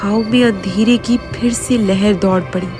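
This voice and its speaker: A woman's voice: medium pitch, steady tone, moderate pace. A woman narrating a folk tale as a dramatic scripted story. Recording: faint music behind the voice.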